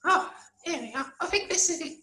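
A woman voicing a small, excitable puppet in a children's show, childlike and exclaiming, often stammering. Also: very high-pitched, fairly quick. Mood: excited. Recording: roomy, noisy home recording.

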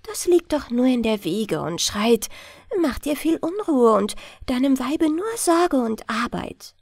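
Woman voicing a high, wheedling water nixie. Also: big pitch swings, measured pace, a little mellow, no room sound, studio quality, heavily acted. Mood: coaxing. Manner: persuasive, wheedling. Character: coaxing, bargaining female water nixie, slightly testy. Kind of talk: fairy-tale audiobook character voice.

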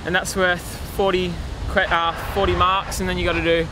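A man's voice: sits high, flat delivery, a little fast, noisy background. A teenage male competitor with an Australian accent, casually explaining an event to camera outdoors. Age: teens. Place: outdoors on a beach. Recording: outdoor beach with loud music.

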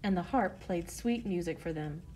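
A woman storyteller reading a scripted children's tale in measured phrases. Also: fairly low pitch, darkish tone, slight background noise, rough recording.

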